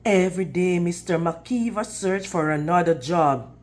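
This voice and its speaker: A woman's voice: fairly low pitch, measured pace, a little mellow. A woman reading a storybook aloud to young children in a teacherly manner, prompting her listeners with questions.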